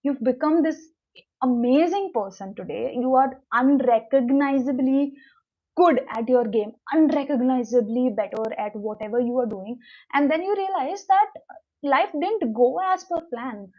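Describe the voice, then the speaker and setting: A young woman with an Indian English accent, a Konkani native speaker, talks in a loose, rambling way on a motivational livestream and stops to answer viewer comments. Her voice sits a little high. The audio is dull and lo-fi. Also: normal speed. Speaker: livestream host. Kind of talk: casual motivational livestream chat. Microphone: new mic, livestream.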